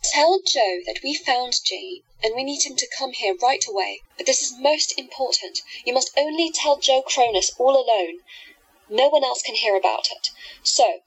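A woman playing a sweetly manipulative hypnotist in a radio play, soft and musical, coaxing people to obey. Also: high-pitched, moderate pace, husky, rough recording. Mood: coaxing, commanding. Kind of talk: audio drama acting.

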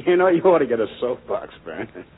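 A man's voice: medium pitch, very animated, fast talker, breathy edge. An American man playing an easygoing, skeptical young songwriter in a 1940s radio drama, casual and wry, on a tinny old recording.

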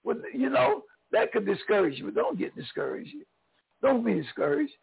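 An older man, a pastor with a Southern accent, preaching an encouraging sermon in a hoarse voice, swinging between loud and soft. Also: very high-pitched, sing-song, normal speed, phone-quality sound.

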